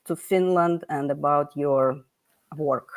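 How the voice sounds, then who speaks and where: Woman host, lowish pitch, dry sound, chatty with many fillers. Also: slow, no echo. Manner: chatty, filler-heavy, with many "you know"s. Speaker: livestream host. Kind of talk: hosting a live online talk. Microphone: video call.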